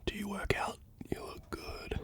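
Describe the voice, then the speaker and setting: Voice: unhurried, close to an everyday voice. A reader whispering the lines of an eager, competitive young female detective.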